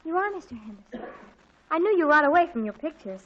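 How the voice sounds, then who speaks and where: An actress playing an earnest, excitable teenage girl in a 1940s radio comedy, on a dull lo-fi old recording. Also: high-pitched, fast.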